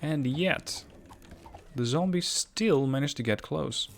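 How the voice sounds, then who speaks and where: A man's voice: on the high side, slow pace. A man giving casual, explanatory let's play commentary, thinking aloud as he goes. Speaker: gaming YouTuber. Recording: clear game noise behind commentary. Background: video game sounds.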